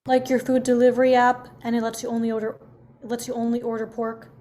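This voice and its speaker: A woman's voice: fairly high pitch, level tone, fast talker, dark tone, close to an everyday voice. A woman playing a sarcastic thirteen-year-old daughter in a comedy script read. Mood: sarcastic, exasperated. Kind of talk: table read of a comedy pilot. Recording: faint background noise on video call.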